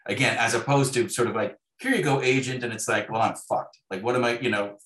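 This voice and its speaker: A man's voice: medium pitch, fast talker, a bit bright. A man speaking as a guest author in a conversational interview, acting out imagined dialogue as he tells his stories. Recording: roomy, echoey sound.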